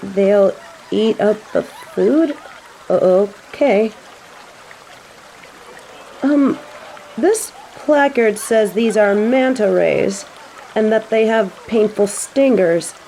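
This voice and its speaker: A woman's voice: mid-range voice, very slow, long pauses, dark tone, a little hiss or hum, poor audio. A woman voicing a snobby but secretly sweet young angel who stammers and gets flustered, in a romantic audio roleplay. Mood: shy wonder. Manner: stammering, flustered, haughty when embarrassed.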